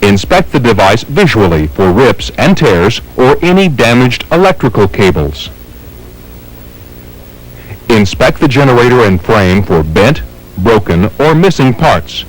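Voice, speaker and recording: A man's voice: medium pitch, big pitch swings, a little slow, poor audio. A man with an American accent reading scripted instructional narration in a formal, methodical, step-by-step way. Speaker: training film narrator.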